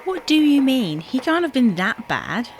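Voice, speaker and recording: A woman's voice: high voice, sing-song, a little slow. A woman playing a dry, sardonic, patient but unimpressed housewife in an audio sitcom. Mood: questioning.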